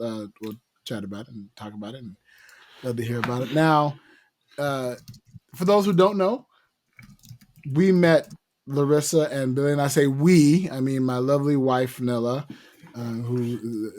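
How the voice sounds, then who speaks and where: A man's voice: mid-range voice, darkish tone. A man hosting a casual podcast interview, rambling and repeating words as he searches for what to say. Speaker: podcast host.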